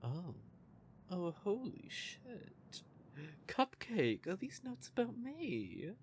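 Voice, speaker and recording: A man's voice: fairly high pitch, slight background noise. A man playing a smug, teasing male vampire who is playfully menacing, in an audio roleplay.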